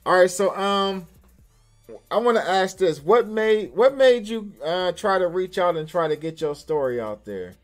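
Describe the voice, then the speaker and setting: A man's voice: high-pitched, expressive, measured pace. A man hosting a casual live-stream interview, talking in an informal, slangy way. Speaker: host of an online call-in show.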